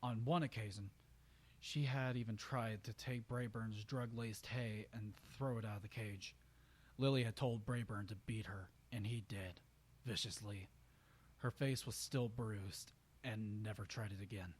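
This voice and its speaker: A man's voice: lowish voice, fairly even intonation, a little slow, husky. A man giving an amateur dramatic reading of fan fiction, stumbling over words and correcting himself. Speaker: story reader.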